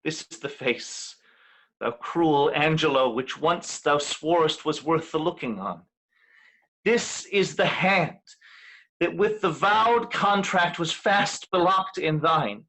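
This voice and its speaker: A man playing a resolute, wronged veiled woman in a Shakespeare reading, in measured formal verse. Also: a little high, animated, bright, forceful tone, full character voice, poor audio. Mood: resolute, accusing.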